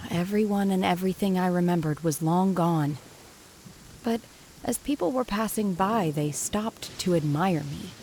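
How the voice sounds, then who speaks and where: A woman playing a reserved, melancholy young woman in a video game, speaking in a measured, subdued way with pauses. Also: fairly low pitch, dark tone, a little hiss or hum. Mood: wistful. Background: background music.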